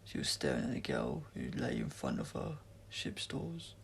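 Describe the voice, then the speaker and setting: Very gravelly male story narrator. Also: a bass voice, wildly swooping pitch, normal speed, slight background noise. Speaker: audiobook reader.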